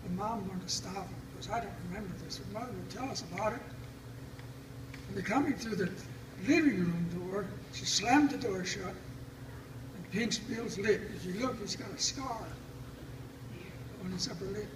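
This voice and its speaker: An older man telling family stories informally, in a folksy, halting, reminiscing way. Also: high voice. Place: family gathering, recorded from a distance. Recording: roomy, distant mic, loud background noise.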